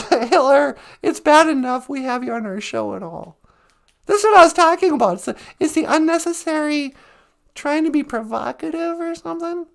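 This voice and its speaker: A man putting on the voice of a chirpy woman co-host of a language podcast, giggly and teasing, her voice going hoarse. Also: very high voice, lively intonation, moderate pace, a bit bright, heavily acted. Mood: scolding. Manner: giggly, teasing, sing-song. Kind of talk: comic puppet-style skit.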